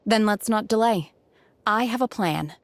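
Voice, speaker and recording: A woman playing an aloof, solemn young woman in a video game, speaking in a formal, measured, deadpan way. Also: medium pitch, slight background noise, lightly acted. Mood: earnest. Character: aloof, solemn young woman raised among mountain immortals.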